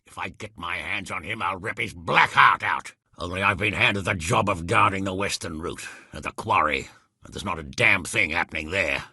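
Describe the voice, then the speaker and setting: A man acting as a gruff, hot-tempered, middle-aged medieval lord in a video game, with a raspy, gravelly voice and a blunt, blustery, commanding manner. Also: deep voice, moderate pace, pushed and bright, dry recording, clean studio sound, heavily acted. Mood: angry.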